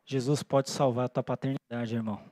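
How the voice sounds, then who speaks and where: A pastor in his forties, born in Japan and raised in Brazil, preaching in an earnest way that pauses often for "né?" and thinks aloud. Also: mid-range voice, fairly even intonation, a little mellow, no echo. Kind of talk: sermon. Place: church.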